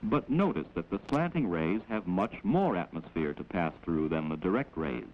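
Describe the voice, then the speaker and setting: Male narrator, American, for a 1940s classroom film: lowish voice, explanatory delivery, dull vintage soundtrack with faint noise. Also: sing-song. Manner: measured, explanatory, instructive. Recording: dull, noisy old 16mm film soundtrack.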